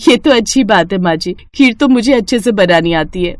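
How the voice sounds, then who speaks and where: A woman's voice: medium pitch, expressive, normal speed, a little mellow, dry recording, studio quality, close to an everyday voice. A woman voicing a young new bride in a family storybook tale, deferential, earnest and eager to please. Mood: polite, eager. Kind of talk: acted Hindi family storybook dialogue.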